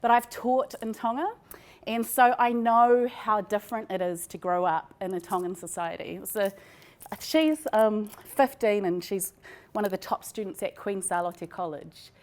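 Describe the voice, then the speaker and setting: A woman's voice: mid-range voice, moderate pace. A woman with a New Zealand accent presenting research in an informal, conversational academic lecture.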